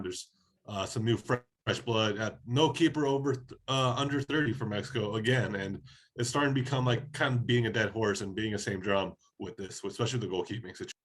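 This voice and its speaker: A man's voice: medium pitch, fairly bright tone. Laid-back man with a head cold. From Oregon, USA. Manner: laid-back, conversational, many fillers. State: head cold, slightly hoarse. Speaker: podcast co-host. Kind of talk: casual podcast chat.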